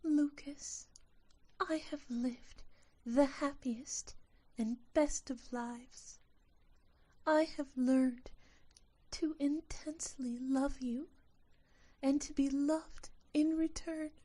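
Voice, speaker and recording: Magical female sylph, acted by a woman: very high, mellow, smooth and soft, serenely loving as she dies, radio play. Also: steady tone, extremely slow, low-quality recording. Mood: tender, fading. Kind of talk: audio drama acting.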